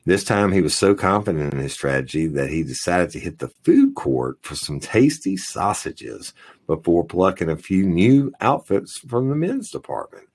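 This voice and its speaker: A man's voice: sits low, big pitch swings, normal speed, husky. A man co-hosting a crime news podcast, reading stories aloud with crude, joking asides. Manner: profane, jokey, reads headlines aloud. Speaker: podcast co-host. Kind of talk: casual crime news chat.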